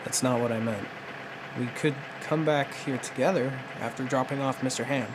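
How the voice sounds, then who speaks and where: A man's voice: medium pitch, steady tone, normal speed, close to an everyday voice. A man acting as a concerned, hesitant young husband in an amateur audio drama. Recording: noisy, outdoor ambience with vehicle sounds.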